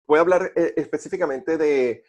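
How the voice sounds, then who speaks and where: A man with a Venezuelan accent telling personal stories conversationally, haltingly repeating words as he searches for phrasing. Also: fairly high pitch, a little mellow. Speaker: podcast co-host.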